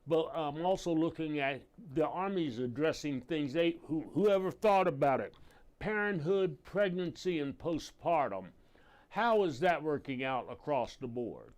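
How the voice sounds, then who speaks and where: A man's voice: a little high, lively intonation, measured pace. A man co-hosting a morning TV show, playful and rambling as he asks questions. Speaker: TV co-host. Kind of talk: morning TV interview. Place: TV studio.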